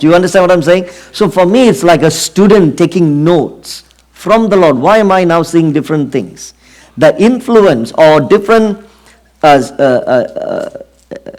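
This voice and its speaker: A middle-aged man from Singapore with a Singaporean accent, preaching an earnest sermon and often pausing on fillers. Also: fairly high pitch, a little mellow. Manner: earnest, drawn-out emphasis with frequent fillers.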